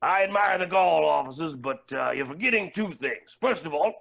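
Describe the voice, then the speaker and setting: A smug billionaire villain, acted by a man, grand and theatrical. The voice sits high, with gravelly creak and wildly animated pitch, in a comic stage-reading style. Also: normal speed, lo-fi sound, exaggerated voice. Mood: smug, pompous. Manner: pompous, grandiose.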